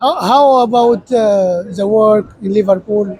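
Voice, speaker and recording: Man, from Sudan, interviewer, high voice, mellow tone, halting, friendly. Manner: halting, friendly, restarts often. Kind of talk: casual street interview. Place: busy train station.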